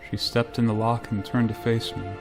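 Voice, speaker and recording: A man playing a weary space pilot in a radio drama, somber and measured in delivery. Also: mid-range voice, little pitch movement, music in the background, close to an everyday voice. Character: grave, weary male space pilot recounting a tragedy. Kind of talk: radio drama acting and first-person narration.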